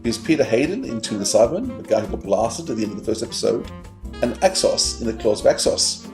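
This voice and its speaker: A man's voice: medium pitch, sing-song, fast talker, over music. A man co-hosting a fan podcast, presenting facts and chatting. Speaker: podcast co-host. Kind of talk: trivia read-out and fan chat.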